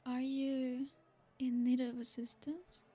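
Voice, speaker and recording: A woman voicing an ancient, aristocratic female vampire in an ASMR roleplay. She speaks in a soft, formal, flattering way. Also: high-pitched, slow pace, slightly rough, heavily acted. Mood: flirtatious.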